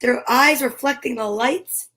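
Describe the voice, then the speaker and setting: A woman with a high voice reads a children's picture book aloud. She takes her time, drawing words out expressively and dropping in chatty asides as the host of the storytime. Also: measured pace, sharp tone.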